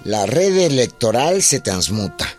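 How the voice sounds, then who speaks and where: A man's voice: medium pitch, very animated, unhurried, darkish tone. A man reading scripted narration for a historical radio drama. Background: faint music.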